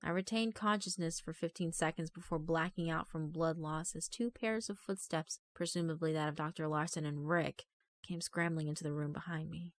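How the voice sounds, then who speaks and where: A woman giving a grave, deliberate, dramatic reading of a horror story as narrator. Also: low voice, steady tone. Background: background music.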